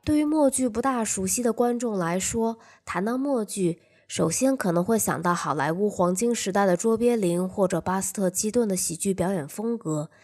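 A woman's voice: mid-range voice, normal speed, few pauses, a little mellow, soft background music. A woman podcast host reading a scripted, first-person arts review.

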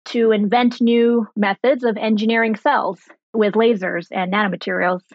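A woman in her thirties explaining things clearly and conversationally as an interview guest, with simple, articulate phrasing. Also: a little high, fairly even intonation, moderate pace, no echo, highs cut off. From grew up in Germany and Sri Lanka. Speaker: guest, biotech founder and laser physicist.

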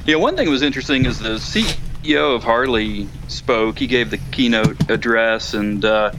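A middle-aged man giving a casual, unscripted report as a podcast correspondent, measured and matter-of-fact. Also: mid-range voice, background noise. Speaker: call-in correspondent on a motorcycle podcast.

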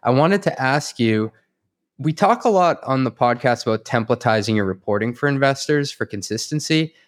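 A man's voice: medium pitch, animated, moderate pace. A man hosting a podcast interview, asking questions in an informal, inquisitive way. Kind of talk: casual interview chat.

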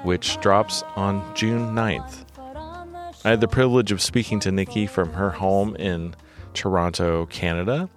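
Man, podcast host, very low voice, friendly and chatty, soft music behind. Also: fairly even intonation, measured pace. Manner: friendly, chatty, with frequent fillers. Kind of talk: casual interview chat. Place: home in Oregon.

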